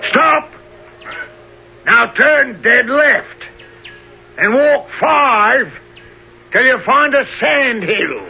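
A crafty pirate ringleader with a high, gravelly voice. He talks in theatrical, swaggering fashion, drawing words out with big swoops. The adventure drama recording is dull and lo-fi. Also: expressive, sharp tone, heavily acted. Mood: commanding, eager. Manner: theatrical, drawn-out piratical talk. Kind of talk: dramatized adventure story acting. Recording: dull lo-fi radio-like recording, faint noise.

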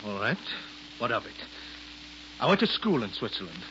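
A man's voice: medium pitch, sing-song, normal speed, lightly acted. An actor playing an arrogant, defensive male circus performer in a 1940s-style radio drama, speaking with stiff, put-on foreign airs. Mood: indignant. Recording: dull lo-fi old radio broadcast with faint hiss.

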